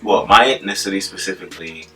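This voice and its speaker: Nigerian guy, low voice, bright tone, jokey, kinda far from the mic. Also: quick pace, soft background music. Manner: playful, joking, drops into pidgin. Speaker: co-host, husband. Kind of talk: casual chat.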